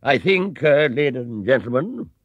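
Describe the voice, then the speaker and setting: A man voicing a pompous, flustered English gentleman factory owner in a storybook, booming and hesitant like a public speaker. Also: expressive, normal speed, no room sound, lo-fi sound, close to an everyday voice. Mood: blustering, jovial.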